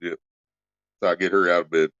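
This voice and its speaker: A man's voice: sits low, level tone, fairly quick, fairly bright tone, highs cut off. A man hosting a casual livestream, cracking crude jokes and reading out donations. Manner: crude joking, reads donations aloud. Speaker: livestream host.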